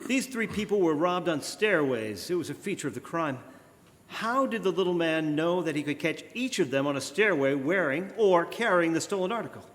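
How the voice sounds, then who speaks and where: A man playing an earnest, authoritative amateur detective in old-time radio drama style, deliberate and firm. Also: on the high side, animated, fairly bright tone. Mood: probing, composed. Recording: live stage, reverberant church hall.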